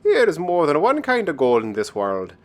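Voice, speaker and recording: A man's voice: a little high, wildly swooping pitch, normal speed, pitched above the performer's own voice. A man voicing a sly, kindly little leprechaun with a small voice and a lilting Irish accent, as a storybook character. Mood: sly, knowing. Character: wee male leprechaun, sly, kindly and grateful.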